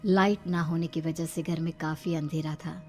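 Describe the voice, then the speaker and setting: A woman narrates a scripted horror tale. Her voice sits fairly low, and music plays under the storytelling. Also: steady tone, normal speed, dark tone.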